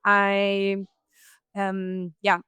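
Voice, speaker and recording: A woman speaking on a panel, halting and searching for her words. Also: mid-range voice, monotone, pushed and bright, clear, resonant tone, no echo. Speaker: sustainability advocate, panel guest. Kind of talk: panel discussion.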